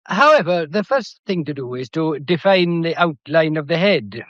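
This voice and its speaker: An actor playing a shrewd, methodical police detective in a British period mystery drama, courteous and lecturing, with a faintly theatrical delivery. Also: male voice, on the high side, very animated, moderate pace, a bit bright, no echo, dull audio. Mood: measured, lecturing. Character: shrewd, methodical male police detective laying out a murder case.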